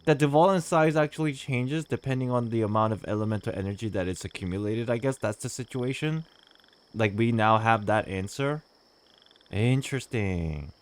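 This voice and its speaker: A man's voice: medium pitch, moderate pace. A man doing casual playthrough commentary as a streamer, reflective and theorizing aloud as he goes. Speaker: gaming streamer. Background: game music.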